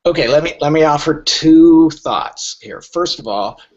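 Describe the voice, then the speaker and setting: A man's voice: a little high, animated, measured pace, darkish tone, muffled recording. A middle-aged man speaking conversationally as an interview guest, wry and joking, with anecdotal answers. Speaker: literary agent, podcast guest.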